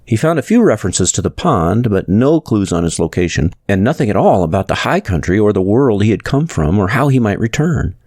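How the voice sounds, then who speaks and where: A man's voice: fairly low pitch, very animated, a little fast, few pauses, a little mellow, dry recording, studio quality. A man reading a novel aloud as a scripted audiobook narrator.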